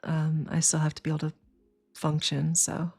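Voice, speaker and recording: A woman's voice: low-pitched, monotone, moderate pace, creaky, a little mellow, slightly rough. A tired woman in her thirties, sniffly and congested, chatting casually with her livestream viewers in a rambling way. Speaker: streamer.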